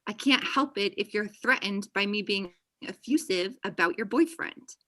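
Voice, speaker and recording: Woman playing young female best friend, bright and edgy, blunt, sarcastic, apologetic, video-call table read. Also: mid-range voice, moderate pace, lightly acted. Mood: brash, defensive. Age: young adult. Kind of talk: acted pilot table read, naturalistic. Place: small room at home, video call. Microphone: computer video-call mic.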